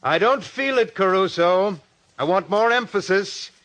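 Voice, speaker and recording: An actor playing a domineering radio director, curt and precise, in a 1940s radio drama style. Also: male voice, high-pitched, animated, a little slow, a bit bright. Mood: demanding. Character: domineering, exacting male radio director, cold and dismissive. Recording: vintage radio recording.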